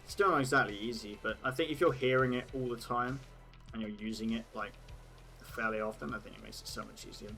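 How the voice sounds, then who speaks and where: A young British man with a bright, forceful voice and a hint of Australian in some words chats loosely with his livestream viewers. He rambles, laughs at his own jokes and thinks aloud. Soft music plays faintly behind him. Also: mid-range voice, fast talker, vocal fry. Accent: British, with occasional Australian words. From Britain. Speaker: livestream host. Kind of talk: casual chat with viewers.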